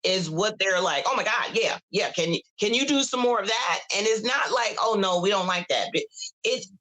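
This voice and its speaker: A woman over fifty with a bright, forceful voice chats easily as a podcast guest. She stops, restarts and repeats words as she thinks aloud. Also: mid-range voice, moderate pace, rough recording. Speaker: podcast guest, cigar brand ambassador. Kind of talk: casual chat.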